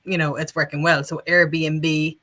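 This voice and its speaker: Bright, forceful woman panelist. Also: low-pitched, level tone, measured pace. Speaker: tourism expert panelist. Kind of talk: unscripted panel discussion.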